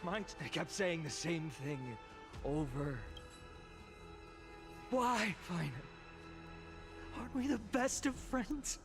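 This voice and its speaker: Young game hero, high voice, slow solemn speech, light music under it. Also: male voice, animated, full character voice. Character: earnest young male hero, grieving orator. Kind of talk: video game dialogue.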